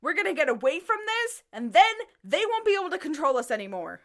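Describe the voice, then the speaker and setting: A woman's voice: high-pitched, sing-song, fast talker, a bit bright, full character voice. A woman playing an emotional, defiant young musician in a radio drama, earnest and emphatic.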